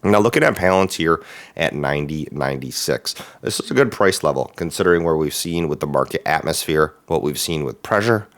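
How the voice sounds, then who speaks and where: A man hosting a stock market channel, talking casually about the market in a raspy, hoarse voice that is still recovering from illness, with frequent "uh" and "um" fillers. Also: a bass voice, animated, fairly quick.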